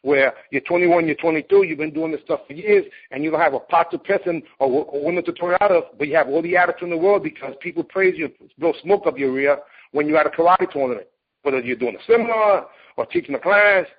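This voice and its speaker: A man's voice: a little high, fast, slightly rough. A man in his late forties, a martial arts competitor and teacher, speaking bluntly and emphatically in an interview as he tells his story. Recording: dull lo-fi recording.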